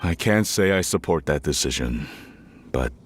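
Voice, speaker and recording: A gruff, very deep father. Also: animated, moderate pace, faint music behind. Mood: stern. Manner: stern, curt. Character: gruff, stern middle-aged father. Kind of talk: game voice acting.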